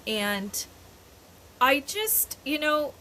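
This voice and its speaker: An older woman co-hosting a podcast in casual chat, rambling and often pausing to search for words. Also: high voice, sing-song, bright, forceful tone, poor audio. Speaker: podcast co-host. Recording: faint background noise.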